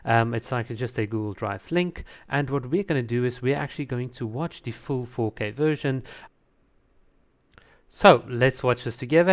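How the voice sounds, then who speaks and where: A man with a mid-pitched voice presents a trailer review as a casual host. He is conversational and opinionated, and he talks with easy enthusiasm. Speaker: host of a video channel reviewing game trailers. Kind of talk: casual reaction commentary.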